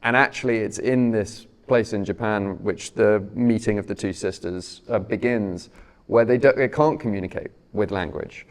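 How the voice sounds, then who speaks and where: A man interviewing an author onstage, measured and searching for his words. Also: lowish voice. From Britain.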